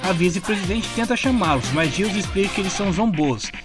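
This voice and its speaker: Male narrator, Brazilian accent, high register, scripted story recap, delivered over a prominent music bed. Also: expressive, fairly quick. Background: clear background music.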